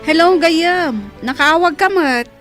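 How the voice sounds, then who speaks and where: A woman's voice: extremely high pitch, takes it slowly, over music. A woman with an Ilocano accent playing a feisty, loyal young friend in a radio comedy drama. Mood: teasing, questioning.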